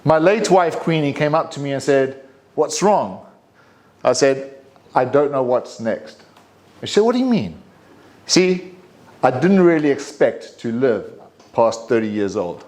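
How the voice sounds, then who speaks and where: A man in his fifties with a South African accent, giving a reflective personal speech in a deliberate way with dramatic pauses. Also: mid-range voice, sing-song, a little mellow. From Durban, South Africa. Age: 50s. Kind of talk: prepared personal storytelling speech.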